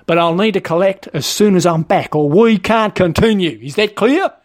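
A man's voice: sits high, expressive, moderate pace, pitched above the performer's own voice. A man voicing an officious, matey Australian male shop trainer for a comic story, with a blokey, rule-bound manner. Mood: firm, officious. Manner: blokey, officious, laying down rules.

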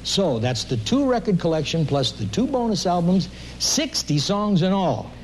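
A man's voice: sits high, very animated, normal speed, slight background noise, low-quality recording. A man announcing a scripted television record offer with an emphatic sales delivery. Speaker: commercial announcer.

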